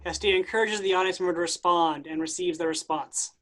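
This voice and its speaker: A woman's voice: fairly low pitch, fairly even intonation, brisk, fairly bright tone. A woman reading stage directions as scripted narration for a play reading.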